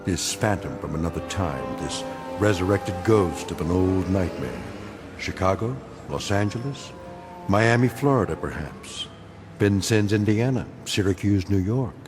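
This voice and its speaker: Ominous deep narrator, solemn measured phrasing, radio drama, music behind him. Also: male voice, animated. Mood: ominous, grave.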